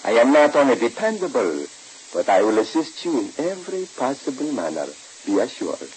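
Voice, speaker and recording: An actor playing a shady, formal sanitarium operator for hire in an old radio drama, speaking precisely with calculated pauses. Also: male voice, medium pitch, sing-song, a little mellow, close to an everyday voice, rough recording. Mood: scheming, insistent. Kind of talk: 1940s radio drama acting. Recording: hissy old-time radio recording.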